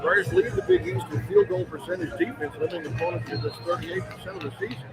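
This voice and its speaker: A man's voice: high voice, huge pitch swings, fast talker, over loud music. A man doing live play-by-play radio commentary of basketball, describing the action in clipped, running phrases. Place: indoor sports arena, courtside. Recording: loud arena noise behind. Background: loud ambient arena noise.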